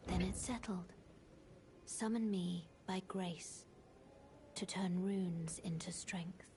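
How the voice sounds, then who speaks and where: An actress playing a mysterious maiden guide in a fantasy game, soft-spoken, formal and solemn, with a breathy, half-whispered delivery. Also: female voice, lowish voice, steady tone, a little hiss or hum. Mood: calm, earnest. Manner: formal, measured, hushed. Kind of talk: scripted fantasy game dialogue.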